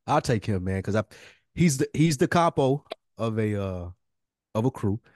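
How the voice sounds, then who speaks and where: A man's voice: on the low side, expressive, normal speed, a little mellow, no echo, clean studio sound. A man co-hosting a podcast, bantering in an informal, slangy way as he thinks aloud. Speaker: podcast co-host. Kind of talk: casual banter about a TV series fantasy draft.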